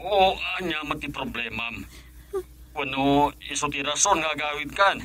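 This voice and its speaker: A man's voice: on the high side, normal speed, sharp tone. A man playing a worried, devoted husband and father, emotional and earnest, in an Ilocano radio drama. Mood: anxious, excited.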